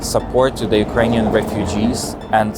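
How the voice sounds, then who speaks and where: A man's voice: fairly low pitch, level tone, normal speed. A man giving a recap of an event. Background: guitar music.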